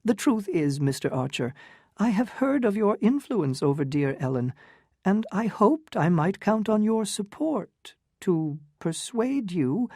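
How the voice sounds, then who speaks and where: A narrator voicing a theatrical older woman who gushes and schemes, in a high, airy, affected delivery. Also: very animated, unhurried, mellow, dry recording, clean studio sound. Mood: gushing.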